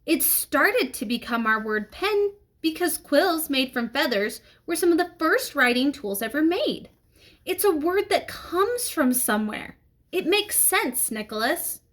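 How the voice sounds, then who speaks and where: A strict schoolteacher played by a woman reading aloud speaks in a formal, measured way. She is a storybook figure who admonishes firmly, with lively rises and falls in her voice. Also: high voice, pitched above the performer's own voice, full character voice.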